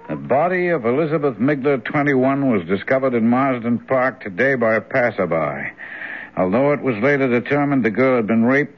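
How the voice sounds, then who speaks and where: An actor playing a plain-spoken man reading a newspaper aloud, deliberate and expressive, in old-time radio drama style. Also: mid-range voice, lightly acted. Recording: muffled lo-fi old radio broadcast.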